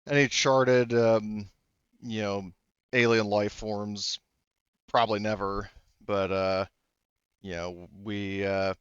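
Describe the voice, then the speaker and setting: Male game master, low smooth voice, dry slightly dull recording, narrating tabletop play with hesitant detours. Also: steady tone, slow, long pauses, a bit bright, no room sound. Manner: rambling and hesitant, often backtracking.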